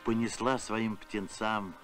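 A man's voice: on the low side, little pitch movement, slow, fairly bright tone, soft background music. A man voicing a gloomy, grumbling spaceship captain in a cartoon, dour in manner.

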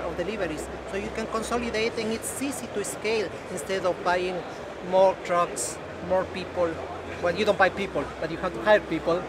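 Man, middle-aged, high voice, eager and emphatic, exhibition hall, heavy background noise. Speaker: locker company representative. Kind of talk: trade-fair interview. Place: busy indoor exhibition hall.

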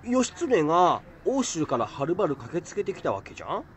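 A man's voice: on the high side, very animated, fairly quick. A man playing an earnest, modest schoolboy who loves history, explaining things eagerly in an amateur radio drama.

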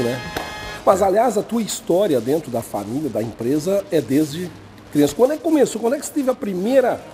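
A man hosting a casual cooking show in a showroom kitchen, talking in a chatty way with restarts. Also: on the high side. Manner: chatty, many restarts and fillers. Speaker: host. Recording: music under the voice. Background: background music.

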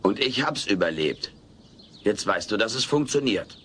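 A wisecracking, greedy little alien in a sitcom radio play. The voice sits a bit low and delivers jokes deadpan. There is faint old-cassette noise underneath. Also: male voice, normal speed. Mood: deadpan, cheeky. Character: cheeky, gluttonous, wisecracking small furry alien. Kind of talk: German audio play adapted from TV sitcom.

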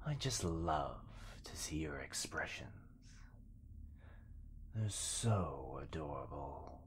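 Man voicing a whispering, menacing shadow monster, very slow. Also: a bass voice, lively intonation, a little hiss or hum, full character voice. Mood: teasing, possessive. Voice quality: breathy, whispery. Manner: slow, drawn-out, teasing menace. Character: possessive, menacing male shadow monster, mockingly affectionate. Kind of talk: yandere ASMR roleplay.

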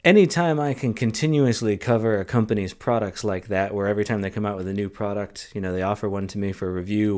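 Low-voiced man reviewing gear at his desk. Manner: relaxed, measured, explanatory. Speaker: tech reviewer. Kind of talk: casual product review. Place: small home office, indoors. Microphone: close desk condenser mic on boom arm.

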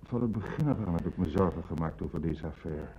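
Radio drama official: courteous but troubled, very low, mellow voice, dull old recording with slight noise. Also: male voice, expressive, fast talker. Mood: shaken, troubled. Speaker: actor in a radio play. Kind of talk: Dutch radio drama acting.

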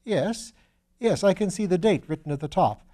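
A man's voice: high voice, animated, normal speed, dark tone, pitched above the performer's own voice. A man reading a storybook as a flustered, eager, self-deprecating young upper-class English student who talks in old-fashioned slang. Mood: recalling, eager.

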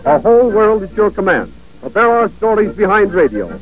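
A man's voice: sits high, very animated, normal speed, soft background music, poor audio. A man announcing in an old-time radio style from a script.

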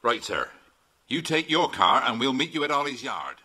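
An actor playing a brisk, no-nonsense British police sergeant in a children's audio drama, bluff and commanding. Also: male voice, medium pitch, sing-song, sharp tone, slightly rough, heavily acted.

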